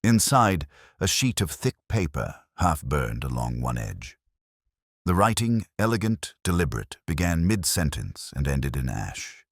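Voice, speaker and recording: A man narrating a detective story in the first person in a measured, reflective, literary manner. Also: extremely low pitch, big pitch swings, vocal fry, no echo, studio quality.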